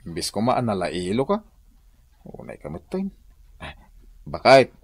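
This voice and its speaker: Excitable, wheedling Ilocano husband. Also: male voice, on the low side, measured pace, breathy edge, full character voice. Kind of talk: Ilocano radio drama acting.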